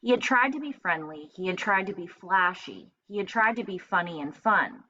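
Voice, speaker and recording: A woman with a fairly low voice reads a children's story like a teacher. She thinks aloud in simple, instructive asides. The recording is slightly dull and lo-fi. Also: animated, normal speed, sharp tone. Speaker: teacher reading aloud to pupils. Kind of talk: storybook narration with explanatory asides.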